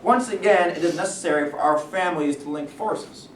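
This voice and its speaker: A man in a staged play reading, playing a smooth, pressuring company businessman who persuades with veiled threats. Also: sits high, a little fast, lightly acted. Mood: persuasive. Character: smooth, pressuring steel company businessman, wealthy family heir. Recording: echoey room, distant mic, faint noise.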